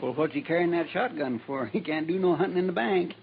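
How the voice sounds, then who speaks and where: An actor playing a deferential, soft-hearted frontier deputy in a 1950s radio Western, with drawling rural speech. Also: male voice, a little high, fast talker, creaky. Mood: curious, worried. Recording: muffled, noisy 1950s radio recording.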